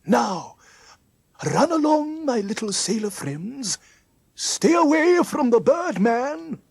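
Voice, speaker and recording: A narrator voicing a genial but scheming gentleman in a children's story, coaxing and condescending with endearments. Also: mid-range voice, sing-song, takes it slowly, vocal fry, breathy edge, dry recording, voice pushed up, full character voice. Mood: reassuring, coaxing. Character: genial, smooth-talking gentleman, patronising and scheming.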